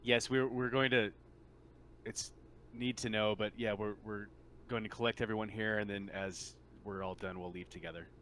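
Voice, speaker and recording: A man running a tabletop role-playing game, improvising scene narration in a halting, informal way. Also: on the low side, fairly even intonation, a bit bright. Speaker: game master. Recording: noisy room with typing.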